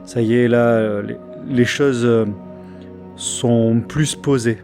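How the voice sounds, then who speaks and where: A man narrating as game master of a tabletop game, halting with many fillers and restarts. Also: mid-range voice, steady tone, creaky, darkish tone, faint music behind.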